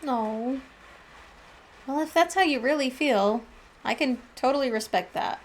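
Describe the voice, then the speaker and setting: A woman's voice: high voice, measured pace, slight background noise. A woman hosting a gaming channel, giving casual let's-play commentary with chatty, colloquial asides. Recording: game music behind the voice.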